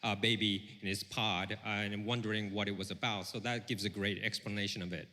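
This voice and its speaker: Man, stage interpreter, bright forward voice, halting phrasing, long hall reverb. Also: fairly low pitch, fairly even intonation. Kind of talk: live consecutive interpreting. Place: large event hall stage.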